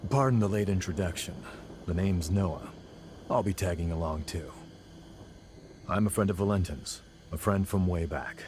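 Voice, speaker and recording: An actor voices a laid-back, loyal comrade in a video game cutscene. His voice sits very deep, and he talks casually and colloquially. There is faint noise and ambience behind him. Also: moderate pace, pauses often, a little mellow, natural voice. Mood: relaxed, friendly. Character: easygoing, loyal male comrade in arms.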